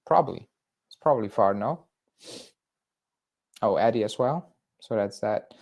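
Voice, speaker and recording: A man's voice: on the low side, animated, measured pace, a little mellow. A young man from Belgium hosting a casual fan livestream, rambling through viewer chat with fillers and restarts. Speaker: football fan channel host.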